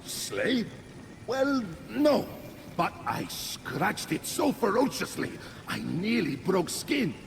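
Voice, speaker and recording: An actor playing a blustering, theatrical war god trapped in a chicken's body, in an animated video game. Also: male voice, high voice, slow, gravelly, slight background noise, heavily acted. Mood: boastful. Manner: theatrical, pompous, self-dramatizing. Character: war god stuck as a chicken, blustering, dramatic, easily flustered.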